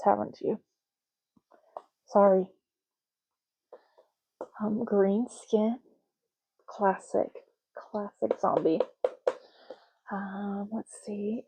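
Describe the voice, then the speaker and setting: A woman speaking softly and unhurriedly in an ASMR roleplay, playing a chatty, matter-of-fact official in short murmured phrases. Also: medium pitch, husky, muffled recording. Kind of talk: soft-spoken ASMR roleplay.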